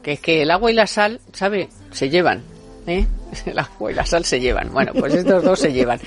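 A woman's voice: on the low side, animated, moderate pace, music in the background. A woman hosting a radio talk show from a studio, talking in an emphatic, colloquial and sarcastic way.

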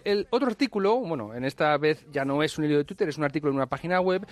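A man's voice: a little high, no echo. A man giving investment recommendations as a radio co-host, in a discursive, hesitant, jokey way.

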